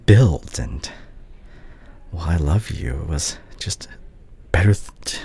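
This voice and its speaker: Casting: male actor for regretful doctor ex-boyfriend, ASMR roleplay. Very low, expressive voice, halting, anxious, tender delivery. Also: creaky, breathy edge, exaggerated voice.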